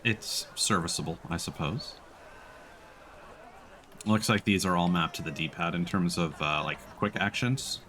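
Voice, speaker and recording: A man's voice: deep voice, unhurried, pauses often, pushed and bright, a little hiss or hum. A man doing casual live game commentary, musing and thinking aloud as he plays. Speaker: game streamer. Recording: faint background game ambience. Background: game ambience.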